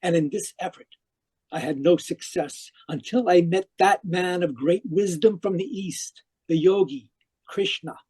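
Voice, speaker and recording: A man's voice: high-pitched, warm tone, slightly rough, poor audio. An actor playing an intense, death-obsessed middle-aged scholar who tells his tale formally in an old-time radio drama.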